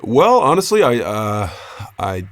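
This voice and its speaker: An actor playing a careful, folksy radio reporter in an audio drama, speaking politely and hesitantly to placate someone. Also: male voice, on the low side, sing-song, unhurried. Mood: tactful, nervous. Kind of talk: naturalistic audio drama acting.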